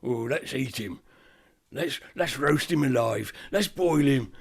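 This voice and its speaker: A man's voice: medium pitch, animated, slow pace, creaky, breathy edge, full character voice. A man voicing a band of nasty drunken robbers squabbling over a cruel plan. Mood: gleeful.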